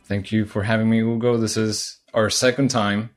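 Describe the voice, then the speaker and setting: A man, a software engineer, speaking candidly and confidently as a podcast guest telling his career story. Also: on the low side, little pitch movement, moderate pace. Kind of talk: podcast interview.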